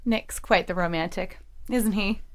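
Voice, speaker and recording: A woman's voice: mid-range voice, unhurried, natural voice. A young woman acting in an audio drama as a defiant, lovestruck eighteen-year-old college girl. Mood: affectionate.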